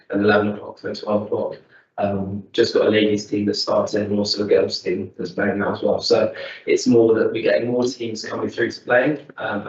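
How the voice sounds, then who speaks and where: Casting note: low, steady man at a council meeting, matter-of-fact, heard from off the mic in a dull, echoey room. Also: distant mic. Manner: plain, matter-of-fact, with many ums. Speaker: sports club representative. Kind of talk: answering questions at a council meeting. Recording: dull, roomy sound from off-mic.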